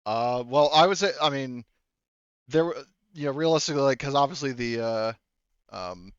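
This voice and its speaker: A man acting as game master of a tabletop roleplaying game, improvising narration and questioning players in a halting, rephrasing way. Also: medium pitch, expressive, smooth, no echo, highs cut off.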